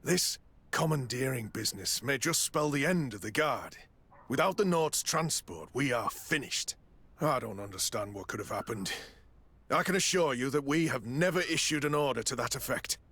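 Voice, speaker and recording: An actor playing a troubled, candid garrison quartermaster in a video game, speaking formally and expressively. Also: male voice, medium pitch, lively intonation, moderate pace, a bit bright, husky. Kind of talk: dramatic video game dialogue.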